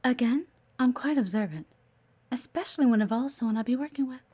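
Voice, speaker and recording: A woman's voice: a little high, fast, dark tone, slightly rough. A woman playing a playful, teasing, observant executive assistant in an intimate one-sided audio roleplay. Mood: teasing, faintly menacing. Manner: coy, teasing, chatty, with murmured asides.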